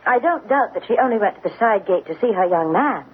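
An actress playing a gentle, caring, dutiful young woman in a vintage radio drama. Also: medium pitch, expressive, a little fast, lightly acted. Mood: concerned. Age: young adult. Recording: tinny old radio recording.

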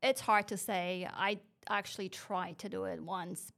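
A woman's voice: medium pitch, fairly even intonation, unhurried, vocal fry, fairly bright tone. A woman from Poland with a Polish accent, Polish her first language, answering interview questions in an earnest, upbeat way with short, simple phrasing. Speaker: hospital staff member, interview guest. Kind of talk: casual conversational interview.

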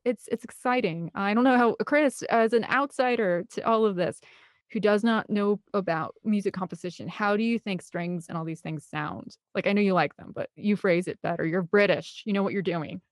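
A woman's voice: medium pitch, normal speed, no room sound, studio quality. A woman talking casually and at length as an interview guest, with a gushing, rambling enthusiasm. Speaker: interview guest, film composer and director.

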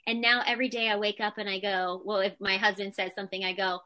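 A woman's voice: medium pitch, flat delivery, fast, sharp tone. A woman speaking as an interview guest, talking candidly and personally, with lots of casual "like" and "you know" fillers. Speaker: interview guest, Pilates instructor and entrepreneur. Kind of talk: candid conversational interview answers.

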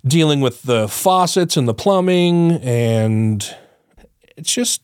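A man chatting casually on a podcast, his voice still a little congested and nasal. He rambles, swears freely and laughs often. His voice is medium-pitched, recorded close and dry. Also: animated, takes it slowly, a little mellow, clean tone, no room sound. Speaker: podcast co-host. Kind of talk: casual chat.

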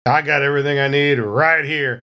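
A woman's voice: low voice, fairly even intonation, fairly quick, sharp tone. A woman chatting casually as a player in a tabletop role-playing game.

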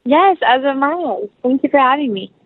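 Woman guest on a podcast call, fairly high voice, thin tinny phone sound, very dry. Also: lively intonation, moderate pace, no room sound. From North Carolina, United States. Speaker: actress, interview guest. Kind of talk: interview conversation. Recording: tinny, narrow phone-like line.

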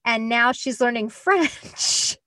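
A woman from the United States hosting a casual interview, chatty and animated, often acting out other people's voices when she tells a story. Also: on the high side, slow pace, dry recording, low-quality recording.

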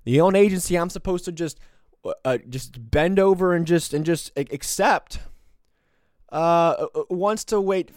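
A man's voice: on the high side, a little slow, a little mellow, dry recording, studio quality. A man in his twenties hosting a solo podcast, talking in a sarcastic, combative, rambling way. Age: 20s. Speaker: podcast host. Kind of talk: opinionated solo commentary.

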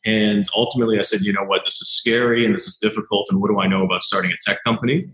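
Deep-voiced man, echoey room. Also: racing. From Canada. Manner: animated storyteller, acts out quoted exchanges, many "you know" fillers. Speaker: doctor and company founder. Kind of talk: conversational interview answers.

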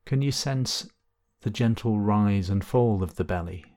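A man leading a guided body scan meditation, giving gentle, unhurried instructions with long pauses between phrases. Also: fairly low pitch.

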